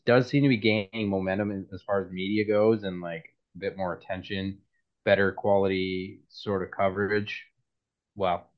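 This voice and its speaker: A man's voice: deep voice, muffled recording. A man co-hosting a podcast, explaining things in a matter-of-fact way. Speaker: podcast co-host. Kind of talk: casual podcast chat.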